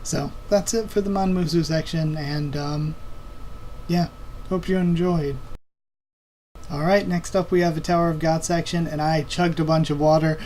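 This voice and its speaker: A man with a fairly high voice hosts a casual question-and-answer video. He talks in an informal, halting way, thinking aloud, and his voice sounds slightly strained and tired. There is some faint noise in the recording. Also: fairly even intonation, darkish tone. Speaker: online video host. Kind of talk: casual Q&A commentary on anime and comics.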